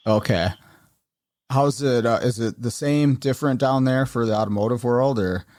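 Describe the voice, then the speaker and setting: A man's voice: mid-range voice, moderate pace, darkish tone, no room sound, studio quality. A man hosting a podcast, interviewing a guest in an easygoing way with lots of "um" and "uh". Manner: easygoing, many "um" and "uh", short replies. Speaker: podcast host. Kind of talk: casual interview.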